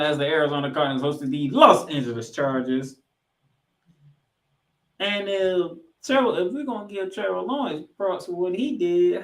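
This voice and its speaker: A man co-hosting a sports podcast, recapping football and reading stats with emphatic, drawn-out key figures. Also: sits high, a bit bright. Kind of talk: football recap commentary with stat read-outs. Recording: echoey, roomy sound.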